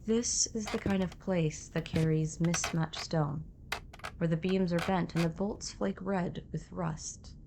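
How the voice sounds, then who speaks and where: Low-pitched woman narrating a story. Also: fairly even intonation, measured pace, vocal fry, noisy background. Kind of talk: scripted atmospheric story narration.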